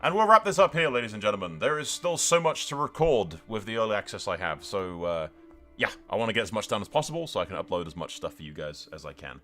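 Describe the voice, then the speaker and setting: A man's voice: fairly low pitch, very animated, fast, a bit bright, soft background music. A man giving casual, sweary gameplay commentary as a gaming YouTuber, chatting to his viewers in a blokey way.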